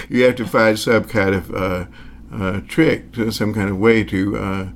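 A man's voice: on the low side, animated. Hesitant older man in his sixties. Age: 60s. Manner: hesitant, many pauses and fillers; reflective, chuckles as he talks. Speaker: magician. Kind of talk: relaxed storytelling in an interview.

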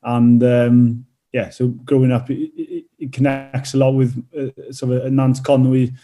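Welsh male athlete, 30s, hesitant and halting, dark even delivery. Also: medium pitch. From North Wales. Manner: hesitant, many fillers and restarts. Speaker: rugby player and teacher. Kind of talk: casual interview answers.

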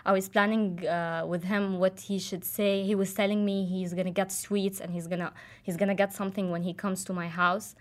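A woman's voice: mid-range voice, flat delivery, moderate pace, few pauses. A young woman from Jordan, casually telling a personal story with many fillers and restarts. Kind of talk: casual chat.

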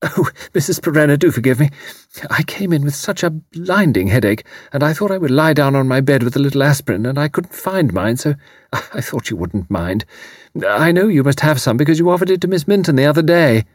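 A man's voice: on the high side, animated, fairly quick, no echo, studio quality, voice pushed up. A man voicing a flustered, apologetic middle-aged woman who gushes her excuses.

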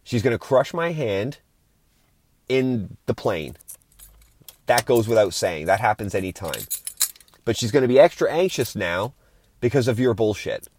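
A man with a fairly low voice hosts a podcast solo. He talks in an informal, opinionated rant, swearing casually as he airs his grievances. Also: animated, measured pace, pauses often, a little mellow, a little hiss or hum. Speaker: podcast host.

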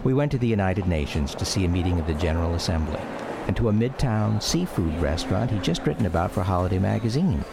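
A man's voice: low-pitched, lively intonation, fast talker, creaky, music in the background. A man acting as a radio drama narrator, a grown son wistfully recounting memories of his father in scripted memoir style. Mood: reflective.

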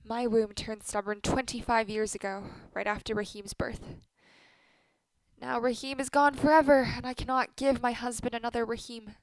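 A woman's voice: fairly high pitch, fairly even intonation, normal speed, no echo, lightly acted, rough recording. A woman playing a blunt, sardonic middle-aged wife with a bitter tongue in a radio play. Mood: bitter, wry.

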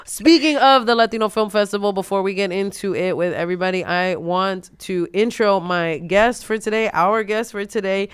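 A woman in her thirties from New York hosts a chatty podcast, her voice sitting lower than usual because she is sick. The tone is smooth and clear, recorded dry and close. She laughs easily. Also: moderate pace, few pauses, no room sound. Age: 30s. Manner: laughing often, putting on silly voices in quotes. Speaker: podcast host. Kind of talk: casual podcast chat.

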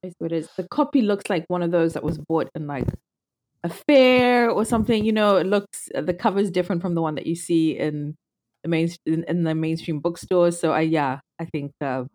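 A young woman from Zimbabwe with a notably low voice talks through her story as a podcast guest. She is thoughtful and casual, often restarting her sentences as she thinks. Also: moderate pace, a little mellow. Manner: thoughtful, with many restarts and fillers. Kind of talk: casual conversational interview.

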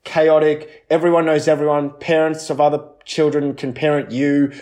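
Australian man, fairly high voice, casual chatty storytelling, animated, halting delivery with frequent restarts. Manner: animated, halting, often restarts mid-thought. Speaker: comedian. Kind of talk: casual chat, personal storytelling.

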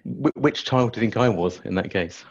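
A man from England answering questions in an interview at a measured, hesitant pace, with many ums and repeated words. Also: lowish voice, gravelly, breathy edge, muffled recording. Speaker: guest, hospital clinical information officer.

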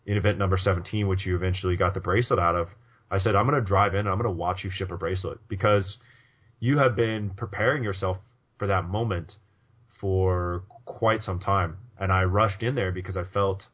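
A man's voice: deep voice, steady tone, fairly quick, slightly rough, dull audio. A man co-hosting a poker podcast in casual chat, rambling with lots of restarts and fillers. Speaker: podcast co-host.

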